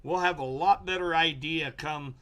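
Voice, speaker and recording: A middle-aged man with a hoarse, fading voice, slowly walking viewers through weather charts on a live stream. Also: fairly high pitch, a bit bright. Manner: slow, explanatory, walks viewers through charts. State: hoarse, losing his voice. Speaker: local weather forecaster.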